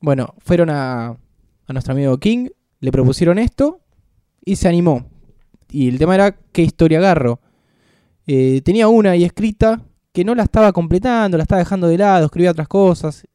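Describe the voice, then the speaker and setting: A man with an Argentine Spanish accent, co-hosting a casual podcast and telling anecdotes in a lively, joking way. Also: high voice, brisk, smooth, no echo, clean studio sound. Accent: Rioplatense Spanish. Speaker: podcast co-host.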